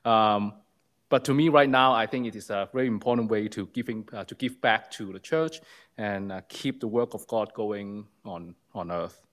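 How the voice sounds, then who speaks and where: A man's voice: on the low side, normal speed, fairly bright tone. A man from Hong Kong, a church member, giving a hesitant personal testimony with many fillers at a church lectern. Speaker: congregation member. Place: church sanctuary.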